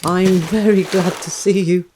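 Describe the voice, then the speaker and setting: A woman's voice: fairly low pitch, steady tone, a little slow, noisy background, close to an everyday voice. A woman voicing a forlorn, sly male cat in a children's story, pleading and grateful. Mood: relieved, grateful.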